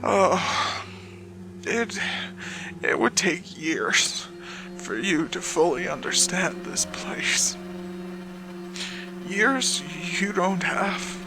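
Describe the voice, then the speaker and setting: A woman playing a gravelly, weary damned woman. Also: fairly low pitch, animated, music in the background, voice pushed down, full character voice. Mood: bitter, ominous. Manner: slow, halting, dramatic, with drawn-out pauses. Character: weary, cowardly damned woman resigned to a hellish afterlife. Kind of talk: horror story character acting.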